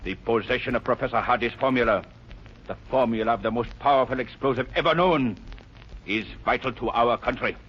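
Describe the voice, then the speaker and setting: An actor playing an imperious, short-tempered foreign agent in a vintage radio thriller, sneering and barking commands. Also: male voice, mid-range voice, a little fast, husky, close to an everyday voice. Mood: demanding. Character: ruthless male foreign agent, domineering villain with short temper. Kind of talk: 1940s radio thriller acting. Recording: dull, noisy vintage radio recording.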